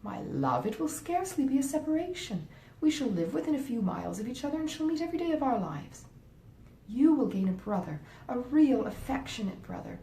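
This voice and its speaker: A woman's voice: very animated, brisk, warm tone. A woman voicing a sentimental, affectionate middle-aged mother in a Regency storybook reading. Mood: fond, reassuring. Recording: roomy echo, faint rumbling noise.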